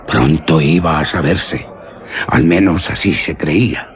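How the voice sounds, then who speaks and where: A man narrating a suspense radio story in a solemn, deliberate manner. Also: rumbling low.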